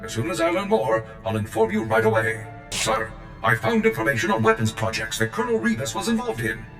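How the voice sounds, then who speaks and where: A loyal combat droid with a low voice, proud and zealous. Every word is formal and precise, like a military report in a video game. The sound is echoey, with music behind it. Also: male voice, very animated, brisk, fairly bright tone. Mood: earnest, inquisitive. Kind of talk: scripted video game acting. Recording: game cutscene with echo and music.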